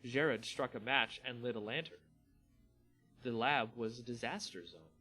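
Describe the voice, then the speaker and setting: A man reading a fantasy story aloud as a theatrical, deliberate dramatic narrator. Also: medium pitch, pushed and bright, soft background music.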